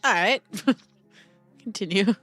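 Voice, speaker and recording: A woman's voice: medium pitch, slow, a bit bright, soft background music. A woman co-hosting a podcast, bantering casually and joking as she reacts. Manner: joking, crude humor. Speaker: podcast co-host.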